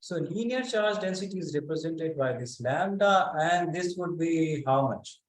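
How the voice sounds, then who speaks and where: A man leads an online physics lesson. His voice sits somewhat high, and he talks slowly and haltingly with many pauses. He often stops to check in with students by name. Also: expressive, poor audio. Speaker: physics teacher. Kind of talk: online class lecture.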